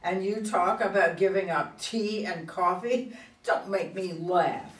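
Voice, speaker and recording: A woman's voice: on the low side, a little slow, lightly acted. A woman playing a proud, combative older female politician in a play reading, with an indignant, emphatic delivery. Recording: echoey room, far from mic.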